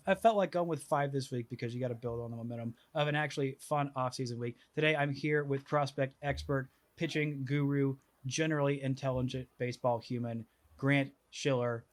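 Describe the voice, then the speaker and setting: A man hosting a sports podcast, talking casually with lots of fillers and restarts. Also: medium pitch. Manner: rambling, filler-heavy, restarting. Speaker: podcast host.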